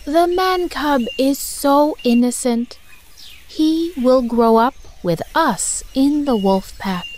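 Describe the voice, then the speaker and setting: Woman as tender, high-voiced mother wolf. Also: animated, slow pace, a little mellow, a little hiss or hum. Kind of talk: children's storybook dialogue.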